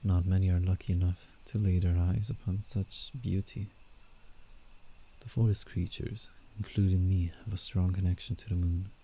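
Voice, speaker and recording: A man voicing a gentle, protective werewolf in slow roleplay ASMR, hushed and soothing with a slightly condescending air and a low, gravelly voice. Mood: reverent, calm. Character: gentle, protective male werewolf guiding a fragile human. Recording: steady night insect ambience, background noise. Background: crickets and night forest ambience.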